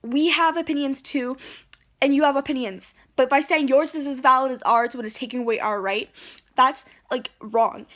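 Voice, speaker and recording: A woman's voice: high-pitched, normal speed, fairly bright tone. A woman ranting indignantly into her phone at home, rambling and restarting as she argues. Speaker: vlogger. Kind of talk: heated rant to camera. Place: at home, indoors.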